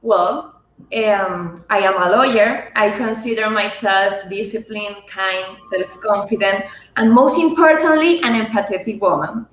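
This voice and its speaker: A woman's voice: mid-range voice, unhurried. A young woman from Venezuela, a Spanish speaker, giving a polite, formal self-introduction as a guest on a video call. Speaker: pageant titleholder guest. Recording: roomy video call audio.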